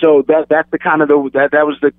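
A man's voice: on the high side, level tone, fast, dry recording. Radio guest, man, light New York accent, rambling and restarting, tinny phone-like sound. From born in London, raised in Queens, New York. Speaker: guest rapper. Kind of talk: casual radio interview.

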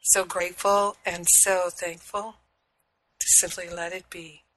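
Husky woman minister, soothing, a touch low, bright edge, faint hiss behind. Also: level tone, rough recording. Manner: slow, soothing and affirming. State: recovering from illness. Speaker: spiritual radio show host and minister. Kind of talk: prayer and spiritual teaching talk.

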